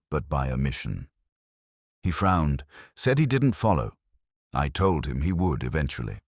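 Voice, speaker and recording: A man reads a scripted first-person detective tale in a very deep voice. His delivery is measured and solemn, with a literary feel and expressive movement in pitch. The sound is dry, with no room around it. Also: no echo. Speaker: narrator.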